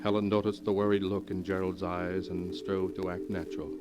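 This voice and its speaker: A man with a low voice narrates an old-time radio mystery. His scripted delivery is grave and dramatic. Music plays clearly behind him. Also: level tone, fairly quick.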